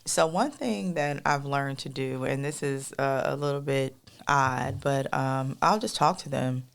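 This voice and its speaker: A woman co-hosting a podcast in a casual, rambling monologue with a soft, melodic voice, talking straight to listeners as y'all. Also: a bass voice, a little slow, vocal fry. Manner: rambling, addresses listeners as y'all, many fillers. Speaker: podcast co-host.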